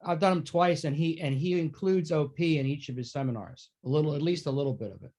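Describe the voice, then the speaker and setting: Man, slightly high voice, casual panel talk, experienced coach. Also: fast talker, mellow. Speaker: experienced accent practitioner and coach.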